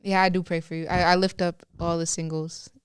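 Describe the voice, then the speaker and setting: A woman's voice: lowish voice, level tone, a little fast, clean tone, no echo, studio quality. A young woman co-hosting a faith podcast, chatting casually in a rambling, self-interrupting way. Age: young adult. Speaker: podcast co-host. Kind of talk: casual chat.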